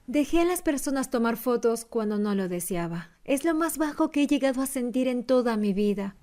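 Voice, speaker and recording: A woman acts out a young female animator telling of a painful struggle. Her voice is slightly high and mellow. She narrates earnestly, in the first person, for an animated story. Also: normal speed, close to an everyday voice. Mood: earnest, sorrowful. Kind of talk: scripted first-person narration, fan dub of an animated confessional video.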